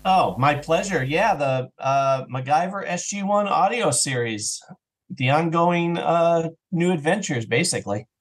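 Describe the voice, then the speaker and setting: A man's voice: fairly high pitch, expressive, measured pace. A man speaking as a podcast guest, explaining things casually and enthusiastically, with stammered repetitions. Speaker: podcast guest, audio drama creator. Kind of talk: casual, explanatory interview chat.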